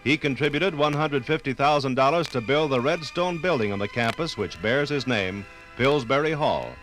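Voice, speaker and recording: An American man narrates a 1950s radio documentary in a formal, theatrical style with measured phrasing. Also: medium pitch, a bit bright, faint music behind, poor audio. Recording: vintage 1950s radio recording.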